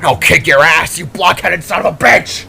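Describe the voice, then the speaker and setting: A gravelly, furious hero held captive as a male narrator acts it, barking defiance. The voice is raised high and has a hard, forceful edge, with music behind it. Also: normal speed, exaggerated voice. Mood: furious, defiant. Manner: barking, confrontational.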